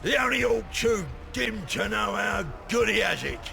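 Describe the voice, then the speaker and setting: An actor playing a weary, sardonic old orc shaman in a video game, with a gravelly, strained, grumbling voice. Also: high-pitched, normal speed, sharp tone, shouting, faint music behind, exaggerated voice. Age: older. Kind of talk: video game cutscene acting.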